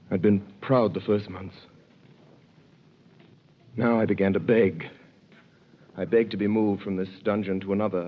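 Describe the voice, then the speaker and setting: A man narrating an old radio drama in the first person, grave and measured. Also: on the low side. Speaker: narrator playing the hero. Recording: dull old radio recording with faint hiss.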